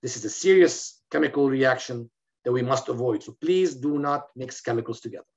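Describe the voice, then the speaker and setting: A man's voice: mid-range voice, fairly quick, a little mellow. A middle-aged man from Lebanon giving a recorded medical lecture over a video call, explaining steadily with fillers and restarts. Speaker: physician lecturer. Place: indoor room, in front of a plain wall. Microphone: webcam on a video call. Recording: slightly dull, lo-fi video call audio.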